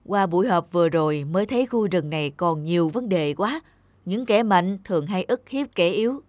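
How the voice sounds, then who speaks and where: A woman's voice: lowish voice, lively intonation, normal speed, close to an everyday voice. A woman voicing a big, stern, thoughtful male antelope leader in a children's cartoon. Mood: pensive. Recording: faint music behind the voice.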